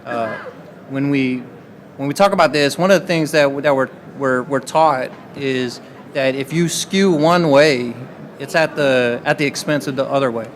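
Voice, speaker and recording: Panelist, male, from Texas, mid-pitched voice, halting delivery, faint hiss behind. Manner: halting, many fillers and restarts. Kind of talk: conference panel answer.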